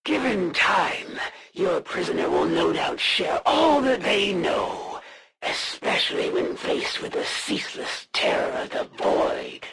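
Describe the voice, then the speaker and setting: An actress playing a proud, menacing alien assassin in a video game, speaking in a formal, haughty, threatening way. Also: female voice, lowish voice, sing-song, slow pace, creaky, a crowd in the background, heavily acted. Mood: cold, scheming. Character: proud alien assassin warrior, female, menacing, honor-bound, disdainful. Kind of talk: scripted video game villain voice acting.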